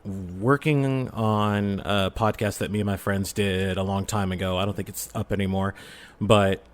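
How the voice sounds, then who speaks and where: A man with a fairly low voice speaks as an interview guest. He tells his story in a casual, conversational way, with the enthusiasm of a keen storyteller. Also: fairly even intonation, measured pace. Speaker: podcast guest, amateur voice actor and audio drama creator.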